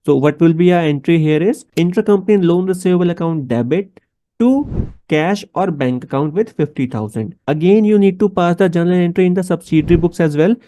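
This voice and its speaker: Male instructor, Indian accent, fairly high mellow voice, methodical explainer who poses questions to the learner. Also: a little fast, a little hiss or hum. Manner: didactic, step-by-step, addressing the listener directly. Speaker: accounting instructor. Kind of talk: explanatory tutorial with rhetorical questions.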